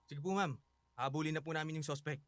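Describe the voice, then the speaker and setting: An actor playing a brisk, dutiful male police officer in a radio drama. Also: on the high side, fairly even intonation, fairly bright tone, close to an everyday voice. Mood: urgent, official.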